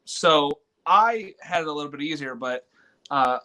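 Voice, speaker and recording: Man, actor guest, casual explanation, slightly high pitch, bright tone, video call. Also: lively intonation, moderate pace. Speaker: stage actor being interviewed. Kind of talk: casual interview chat.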